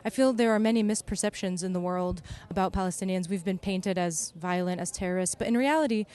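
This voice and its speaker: A woman's voice: fairly low pitch, fast talker, darkish tone, clear, resonant tone. Articulate woman speaking for a cause. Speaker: vigil organizer and spokesperson. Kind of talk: earnest spoken interview statement.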